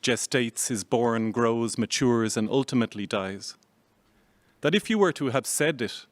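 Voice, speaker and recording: A man from Ireland reading his own poem aloud on a theatre stage, in a measured, unhurried recitation. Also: mid-range voice, dry recording. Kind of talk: poetry reading.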